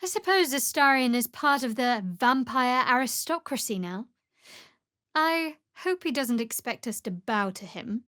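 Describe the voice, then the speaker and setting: A guarded young woman cleric who serves a dark goddess. Her voice sits a little high, with a wry, sardonic edge to the delivery. The recording is very dry, as game dialogue with no room around it. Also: lively intonation, unhurried, no room sound. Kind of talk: video game character voice lines.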